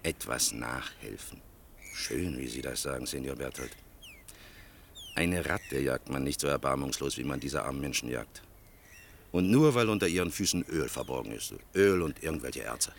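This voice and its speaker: A very deep, gravelly, weary older official. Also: male voice, expressive, slight background noise, heavily acted. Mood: bitter. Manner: measured, sardonic, rhetorical. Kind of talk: German radio play acting, 1980.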